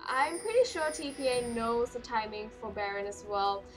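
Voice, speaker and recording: Hoarse woman casting a game, high voice. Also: expressive, rough recording. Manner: rapid play-by-play that jumps higher in fights. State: hoarse, losing her voice. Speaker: esports caster. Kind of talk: solo live game commentary. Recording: clear music behind the voice.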